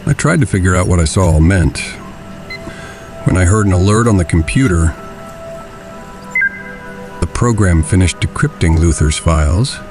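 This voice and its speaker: Deep, brooding vigilante billionaire. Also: male voice, animated. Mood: grim, recounting. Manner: brooding, grave, deliberate. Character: grim, vengeful middle-aged male vigilante billionaire, brooding and obsessive. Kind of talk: audio drama narration and dialogue. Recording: dramatic music behind the voice.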